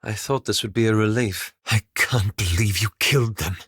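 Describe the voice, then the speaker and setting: A man playing a weary, guilt-ridden elf adventurer in a video game, speaking in a measured, formal and articulate way with slight hesitance. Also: fairly low pitch, a bit bright, slightly rough, no echo, studio quality. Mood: earnest, reflective. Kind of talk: video game character dialogue.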